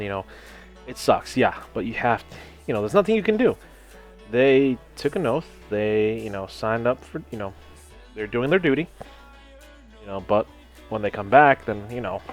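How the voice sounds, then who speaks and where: Young guy from hawaii just rambling about growing up, lots of you know and like, swears a bit, some quiet music underneath. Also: on the low side. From Hawaii, United States. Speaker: gaming channel host. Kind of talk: casual personal storytelling over gameplay.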